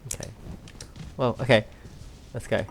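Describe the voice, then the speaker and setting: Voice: mid-range voice, steady tone, a little slow, creaky, a little hiss or hum. A gamer giving casual commentary while playing, talking to themselves and viewers with frequent exclamations. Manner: talks to self and viewers, exclaims, giggles often. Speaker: let's play video host.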